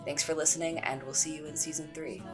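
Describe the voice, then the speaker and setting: A woman's voice: deep voice, flat delivery, quick pace, loud music behind the voice. A woman reading scripted end credits as an announcer.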